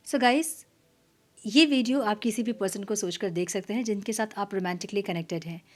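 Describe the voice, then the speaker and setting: A woman hosting a tarot reading, slightly hoarse, talking casually and dropping to near-whispers at times. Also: mid-range voice. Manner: soft, mixing Hindi and English, drops to near-whispers while shuffling. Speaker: tarot reader.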